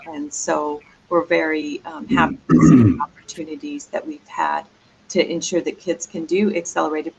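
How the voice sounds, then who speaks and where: Woman with a gravelly, low voice. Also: a little hiss or hum, low-quality recording. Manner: joking, chatty, leads the meeting. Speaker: school administrator leading the presentation. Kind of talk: presentation to a school board.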